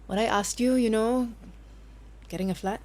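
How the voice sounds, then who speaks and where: A woman's voice: a little high, moderate pace, darkish tone, close to an everyday voice. A woman playing an anxious, hurt lover in an audio drama, probing and insistent in manner. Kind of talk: audio drama acting, monologue and domestic dialogue. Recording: music under the voice.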